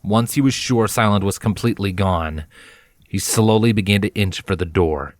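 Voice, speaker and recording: A man's voice: lowish voice, moderate pace, no echo. A man reading a scripted story aloud as a narrator.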